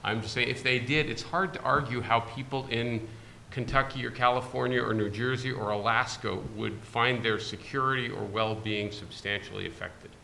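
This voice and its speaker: A man's voice: mid-range voice, steady tone, normal speed, vocal fry, sharp tone, a little hiss or hum. A man on a discussion panel, answering a question bluntly and argumentatively.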